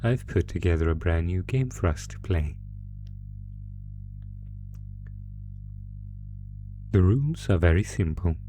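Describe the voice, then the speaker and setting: Sadistic monster played by a man, low-pitched and unhurried, taunting a captive with mock courtesy, horror audio drama over music. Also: animated. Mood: coaxing, mock-generous. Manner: slow, taunting, mock-polite, savoring pauses. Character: sadistic male monster gleefully toying with a captive. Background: musical score.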